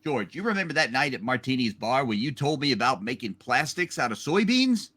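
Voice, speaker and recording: A man's voice: mid-range voice, sing-song, fairly quick, bright, forceful tone. A man playing a brash, jovial young businessman, boastful and hammy, in an amateur radio play reading. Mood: brash, excited.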